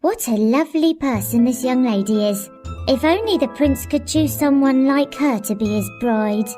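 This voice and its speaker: A woman acts a chatty onlooker in a storybook castle. Her voice is high and soft-edged, with animated, theatrical phrasing. Faint music sits behind. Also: moderate pace. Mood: admiring, eager. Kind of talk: children's interactive storybook acting.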